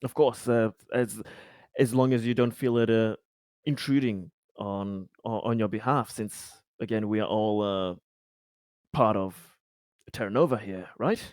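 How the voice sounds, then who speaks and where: A man as a diplomatic sci-fi colony official, slow halting delivery, clean smooth voice, formal and ingratiating, tabletop game. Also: fairly low pitch, a little mellow, dry recording, studio quality, natural voice. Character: polite, diplomatic colony official, eager to please.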